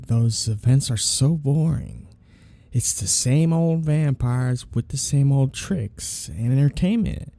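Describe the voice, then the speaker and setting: Vampire lord, male actor, ASMR roleplay: smug, seductive and menacing, unhurried, with swooping intonation, recorded close and dry. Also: mid-range voice, gravelly, dark tone, slightly rough, dry recording, full character voice. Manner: slow, teasing, condescending purr with drawn-out phrases. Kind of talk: ASMR roleplay, intimate scripted monologue.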